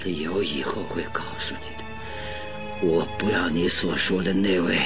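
An actor playing a gravely ill, irritable detective in a radio drama, with laboured, breathy speech and an imperious manner. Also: male voice, sits low, fairly even intonation, unhurried, music in the background. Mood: weak, secretive. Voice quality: breathy, gasping, creaky.

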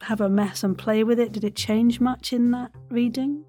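Higher-voiced, effusive woman podcast host. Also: steady tone, moderate pace, warm tone, faint music behind. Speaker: podcast host and audio drama voice actor. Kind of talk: chatty interview hosting.

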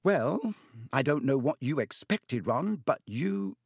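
A man voicing a prim, brisk schoolgirl with a stiff, matter-of-fact manner in a storybook reading. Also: medium pitch, big pitch swings, vocal fry, slightly rough, dry recording, studio quality, pitched above the performer's own voice, heavily acted. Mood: disapproving.

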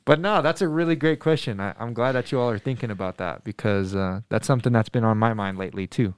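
A man in his twenties from Denver, a middle school math teacher, answering students' questions in a thoughtful, earnest way, pausing often with ums and restarting sentences. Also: lowish voice, smooth, no echo. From Denver, USA. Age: 20s. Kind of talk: casual interview answers to students.

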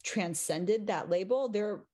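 A woman in her forties, an English professor and podcast guest, chatting casually and thoughtfully, with many pauses and frequent laughs. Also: medium pitch, mellow. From Taiwan, raised in the US. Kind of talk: casual conversation.

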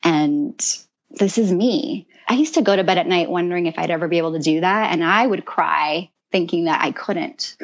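A woman guest in a casual podcast conversation, earnest and animated, who often repeats words as she talks. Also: lowish voice, moderate pace, muffled recording. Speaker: guest, mentor to creative women.

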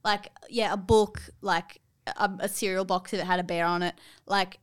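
A woman's voice: medium pitch, fairly even intonation, moderate pace, clear, resonant tone. A young woman, co-hosting a podcast in casual chat and rambling with many restarts. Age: young adult. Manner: rambling, lots of likes and restarts. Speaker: podcast co-host.

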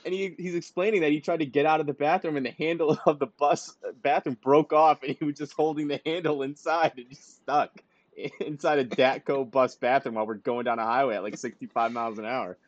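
A man's voice: fairly high pitch, steady tone, brisk, dry recording, highs cut off. A man co-hosting a podcast, telling rambling anecdotes broken by laughter in a casual chat. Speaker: podcast co-host.

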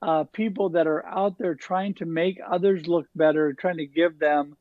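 A man's voice: fairly high pitch, fairly even intonation, moderate pace, dry recording, phone-quality sound. A man hosting a podcast, praising his guest and asking questions, with many "you know"s. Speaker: podcast host. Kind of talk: casual interview talk.

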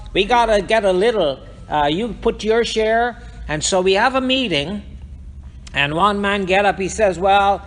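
High-voiced preacher from Guyana, slow and deliberate. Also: male voice, fairly bright tone. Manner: repetitive, rhetorical questioning. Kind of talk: sermon.

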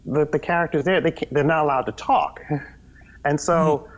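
A man telling stories as the guest in a casual interview, animated and rambling, often restarting his sentences; the audio is slightly dull. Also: fairly high pitch, normal speed. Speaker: guest, children's TV performer.

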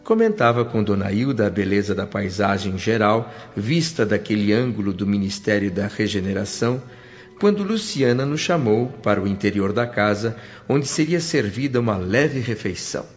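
An actor playing an earnest, grateful newcomer who narrates his story in a measured, formal Brazilian voice for a radio serial. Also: on the low side, expressive, natural voice. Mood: reverent wonder. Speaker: narrator and protagonist. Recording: dull radio-drama recording with music. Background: music.

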